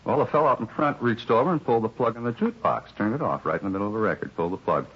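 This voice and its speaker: A put-upon American bar worker grumbles in a low, rough-edged voice. He rambles in a plainspoken, resigned way, in 1950s radio drama style, on a dull old recording. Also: animated, very fast. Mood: shaken, earnest. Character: weary, put-upon male bar employee, grumbling witness.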